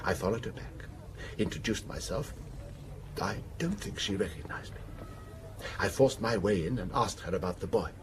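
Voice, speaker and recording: Low-voiced, anguished wronged gentleman played by a man. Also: normal speed, noisy background, lightly acted. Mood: haunted, confessional. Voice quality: wheezy. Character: rich, wronged man confessing to a killing, anguished. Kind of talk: radio drama acting, confessional monologue.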